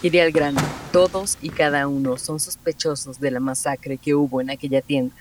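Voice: mid-range voice, steady tone, moderate pace, noisy background, natural voice. An actor playing a bitter, relentless officer interrogating suspects in a Spanish audio drama. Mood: accusing.

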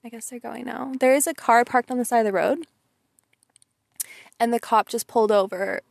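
A young woman with a sweet, slightly high voice talks straight to her listeners in a loose, venting monologue. She is chatty and emphatic, leaning on "like" and "you know". The recording is close and dry, with little room sound. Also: normal speed, vocal fry, dry recording. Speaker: vlogger.

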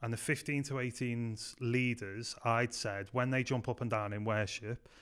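A man's voice: mid-range voice, moderate pace, clear, resonant tone. A man with a Scouse accent from Liverpool, a youth pastor telling stories in a casual podcast chat with many ums. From Liverpool, England. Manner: chatty, frequent "um", animated anecdotes. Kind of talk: casual podcast interview storytelling.

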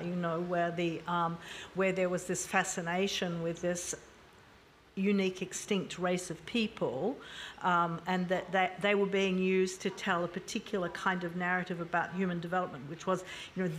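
A woman speaking as a panel guest, frank and thoughtful, pausing often with fillers and restarts. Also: fairly low pitch, a little hiss or hum. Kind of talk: panel discussion.

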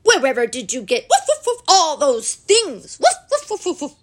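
A woman voicing a boastful, excitable male pet dog in a children's story, punctuating the talk with loud woofs. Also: sits high, moderate pace, pitched above the performer's own voice. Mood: amazed.

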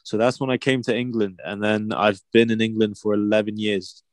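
A man's voice: fairly low pitch, little pitch movement, fairly quick, no room sound. A young man born in China, chatting casually and teasing his friend with frequent laughs. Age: young adult. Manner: laid-back, teasing, laughs often. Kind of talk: casual chat.

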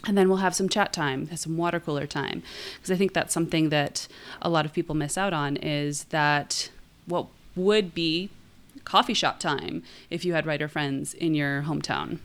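A woman's voice: deep voice, moderate pace. A woman co-hosting a writing podcast in a casual, conversational style. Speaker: podcast co-host, writing teacher.

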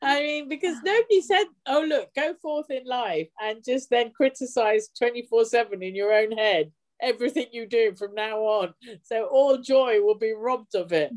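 A woman's voice: fairly high pitch, moderate pace, hardly any pauses, darkish tone. A woman, a guest who runs an online spiritual support group, talking in an effusive, chatty way with frequent laughter. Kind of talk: casual video chat interview.

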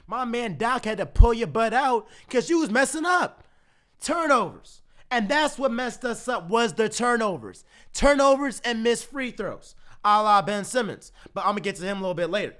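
A man with a very high voice vents his frustration at his sports team, going back over the same complaints again and again. His voice sounds worn and strained from all the cheering, and he talks in heated, exasperated bursts. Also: a bit bright. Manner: heated, repetitive, exasperated ranting. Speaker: sports fan. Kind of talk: ranting commentary to camera.

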